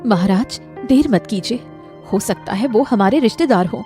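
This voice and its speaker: An anxious, thoughtful storybook queen, acted by a woman. She speaks earnestly in a medium-pitched voice for an audio drama. Music is clearly heard behind her. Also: animated, normal speed, warm tone, natural voice. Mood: thoughtful, concerned. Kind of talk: Hindi moral-story audio drama acting.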